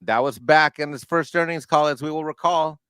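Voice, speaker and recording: Guy bantering on a stream, sarcastic, crisp bright voice, really dry sound. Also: a little high, normal speed, dry recording. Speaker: guest on a live stream panel. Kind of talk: casual chat and commentary.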